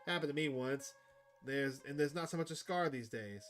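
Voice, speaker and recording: A man's voice: medium pitch, moderate pace, music in the background, natural voice. A man voicing a protective, stubborn country farmer in a horror game, folksy and defensive in manner. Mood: hopeful.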